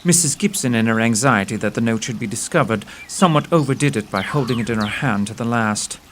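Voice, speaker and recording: Male actor as self-assured detective, precise old-fashioned diction, audio drama, mid-pitched, faint background noise. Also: fast talker, a bit bright, close to an everyday voice. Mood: explaining, grave. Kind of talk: audio theatre acting.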